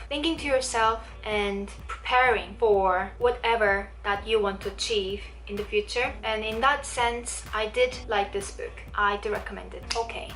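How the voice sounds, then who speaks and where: A woman's voice: medium pitch, fairly bright tone. A woman from South Korea with a Korean accent, chatting casually as she reviews books to camera, often circling back to rephrase. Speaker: video blogger. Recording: roomy echo, distant mic, faint music.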